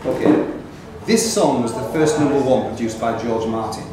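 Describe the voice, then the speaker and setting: A man's voice: medium pitch, normal speed. A man acting as quiz master in a pub, reading questions aloud and calling on players by name. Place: pub quiz room, indoors. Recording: very echoey pub room, clear chatter.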